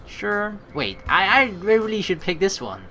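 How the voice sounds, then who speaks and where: A young woman plays through a visual novel, reading the lines aloud and breaking off into playful asides. Her voice is bright and sharp and swings a lot in pitch, with soft game music behind her. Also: very animated, a little slow. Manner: playful, giggly, chatty asides. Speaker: let's play streamer.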